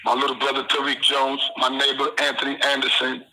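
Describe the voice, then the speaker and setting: A man from Trinidad talks over a phone call, rambling through his story in loose street slang. His voice sits at an ordinary pitch. The line carries clear background noise. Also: monotone, a little fast. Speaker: incarcerated guest on the phone.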